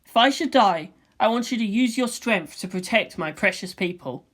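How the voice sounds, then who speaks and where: A woman's voice: medium pitch, lively intonation, moderate pace, fairly bright tone. A woman voicing an earnest, shy, caring teenage boy in a fan fiction reading. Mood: earnest, tender.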